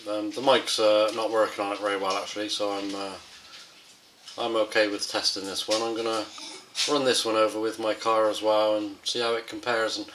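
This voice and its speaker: A man's voice: lowish voice, little pitch movement, brisk, background noise. A man presenting a casual gadget field test in a hesitant, off-the-cuff way.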